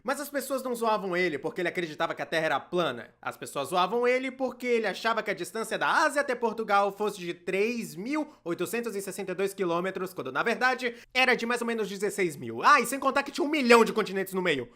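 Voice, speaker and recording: A man with a Brazilian accent doing scripted comedic narration for an animated video in an informal, playfully exasperated manner. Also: high voice, fast talker, hardly any pauses.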